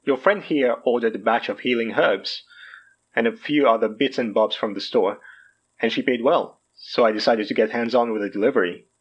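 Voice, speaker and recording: A man playing a smooth, hammy mystic guru in an audio drama, with grandiose, theatrical delivery. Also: mid-range voice, moderate pace, highs cut off, natural voice. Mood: amused. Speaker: self-styled guru and herb seller. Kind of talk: audio fiction podcast acting.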